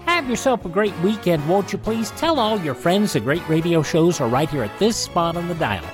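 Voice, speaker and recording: A male radio host with a fairly high, very animated voice. He narrates in a folksy style from a dry studio, with light music behind. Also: normal speed.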